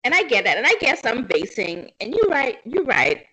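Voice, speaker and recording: A woman from the Bahamas co-hosting a casual book discussion, candid and animated, acting out her own past reactions. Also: a little high, normal speed, breathy edge, low-quality recording. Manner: animated, candid, quotes herself.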